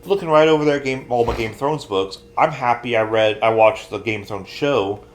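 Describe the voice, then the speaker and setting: A man's voice: mid-range voice, fairly quick. A man co-hosting a podcast, chatting casually and giving emphatic opinions about pop culture. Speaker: podcast co-host.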